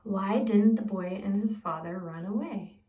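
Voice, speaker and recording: A woman reads a picture book aloud as a preschool teacher, simply and expressively, pausing to put questions to young listeners. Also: mid-range voice, warm tone. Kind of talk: read-aloud storytelling with questions to young children. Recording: echoey room, distant from the mic.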